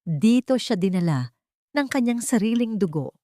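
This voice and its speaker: A woman's voice: mid-range voice, animated, normal speed, mellow, dry recording, clean studio sound. A woman with a Filipino accent reading a scripted dramatic story as its narrator.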